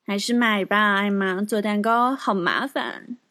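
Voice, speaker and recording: A woman's voice: medium pitch, unhurried, pushed and bright. A woman playing a practical, slightly weary mother in a children's audio drama, matter-of-fact, with music behind.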